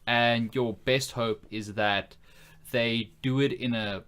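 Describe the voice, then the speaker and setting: A man's voice: medium pitch, fairly even intonation, slow, a bit bright, clean tone. A man co-hosting a podcast in casual conversation, thinking aloud and hedging as he builds his point. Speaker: podcast co-host. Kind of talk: casual chat about films and games.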